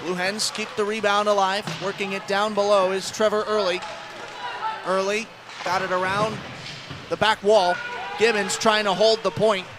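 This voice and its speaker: A man's voice: high voice, flat delivery, normal speed. An American man calling live ice hockey play-by-play as a radio announcer. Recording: clear rink noise behind commentary. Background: ice hockey game noise.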